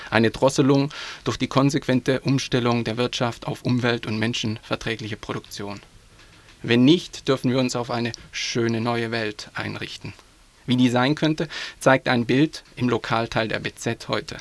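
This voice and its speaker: A man's voice: mid-range voice, vocal fry. A man from southwest Germany reading a commentary for a local news program in an earnest, urgent way. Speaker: news presenter. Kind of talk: read-out commentary.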